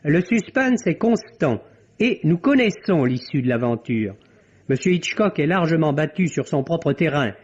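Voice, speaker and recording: A man's voice: on the high side, big pitch swings, moderate pace, husky. A man delivering a film review as a critic on the radio. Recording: dull vintage French radio broadcast.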